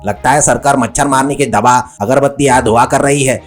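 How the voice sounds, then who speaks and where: A man's voice: medium pitch, fairly even intonation, a little fast, natural voice. A man voicing a confused, chatty male relative visiting a village in a cartoon story. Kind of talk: Hindi cartoon story voice acting.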